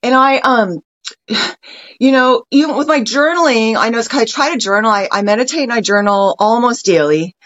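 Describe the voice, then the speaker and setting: A woman's voice: a little high, normal speed, fairly bright tone, dull audio. An American woman, a guest artist, talking in a casual podcast chat, reflective and meandering with frequent restarts. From United States.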